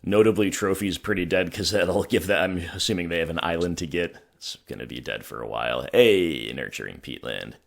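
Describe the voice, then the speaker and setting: Hoarse man with a low voice, wry gameplay commentary, talks through his decisions. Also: a little fast, hardly any pauses. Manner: thinking aloud, wry, self-mocking. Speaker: gaming channel host.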